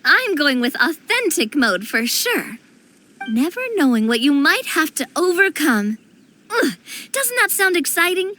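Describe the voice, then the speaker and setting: A woman's voice: sits high, big pitch swings, unhurried, bright, forceful tone, slight background noise, exaggerated voice. A woman voicing a playful young woman who loves risk and teases her friends. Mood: excited. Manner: playful, teasing, enthusiastic. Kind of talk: game voice acting.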